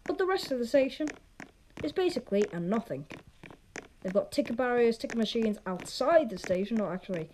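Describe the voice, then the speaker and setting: Casting: chatty, opinionated game vlogger, mid-pitched with lively swings, casual rambling delivery, noisy recording with clicks. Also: a little fast. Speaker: video host. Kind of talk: casual gaming vlog commentary.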